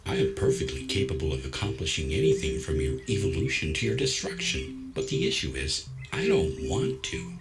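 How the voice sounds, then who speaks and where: A man playing a calm, self-assured android who reasons in a measured, nonchalant, gently wry way in a science fiction audio story. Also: sits low. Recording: music behind the voice.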